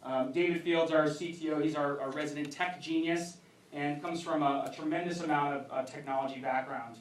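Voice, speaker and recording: A man presenting a pitch to a live audience as a startup founder, in an emphatic stage delivery. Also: steady tone, fairly quick. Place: indoor event venue, speaking to a crowd. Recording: roomy echoing event hall, distant.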